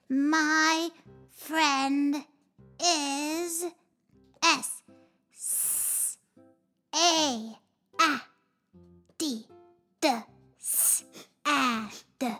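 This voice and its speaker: Young boy pig, very high voice, slow careful reading, bright tone, soft music behind. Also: exaggerated voice. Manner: slow, sounding out letters one by one. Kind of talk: children's educational puppet show.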